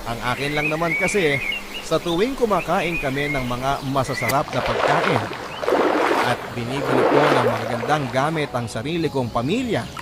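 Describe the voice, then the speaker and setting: A man's voice: medium pitch, moderate pace, heavy background noise, close to an everyday voice. A man playing a guilt-ridden overseas worker father, telling his life story as an earnest, sorrowful radio confession. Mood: regretful. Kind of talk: dramatic first-person radio confession. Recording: loud background music under voice.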